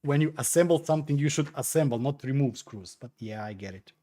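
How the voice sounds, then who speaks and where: A man's voice: medium pitch, expressive, quick pace, dark tone. Chatty man streaming from home. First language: Croatian. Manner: hesitant, chatty, many fillers and restarts while tinkering. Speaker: livestream host. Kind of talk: casual livestream chat, answering viewer comments.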